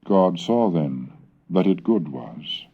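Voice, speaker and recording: A man giving a formal, measured scholarly reading, reciting old verses slowly and carefully, on a dull 1970s cassette recording. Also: deep voice, expressive, slightly rough. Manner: measured, deliberate, slows and lifts for the old tongue. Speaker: scholarly reader.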